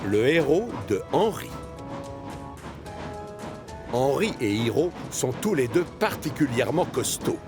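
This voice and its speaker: A man narrating a children's cartoon in a lively, expressive storytelling manner. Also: mid-range voice, slow, long pauses. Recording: narration over music.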